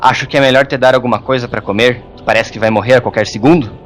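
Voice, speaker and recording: Grumpy hermit monk, acted by a man, sarcastic, colloquial, mid-pitched, music underneath, audio drama. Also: steady tone, fast, natural voice. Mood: mocking, gruff. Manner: gruff, mocking, colloquial.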